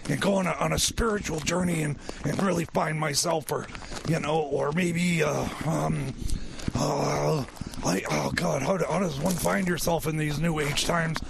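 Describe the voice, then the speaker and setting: A man voicing a flustered, spacey time machine mechanic in a comedy radio skit, halting and rambling as he stammers. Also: a little high, steady tone, creaky. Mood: spacey, searching. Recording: noisy with clicks.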